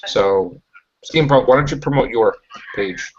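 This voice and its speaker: A man hosting a casual web show, talking in an emphatic, pushy and animated way, on a muffled lo-fi recording. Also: mid-range voice, a little slow, a crowd in the background. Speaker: host.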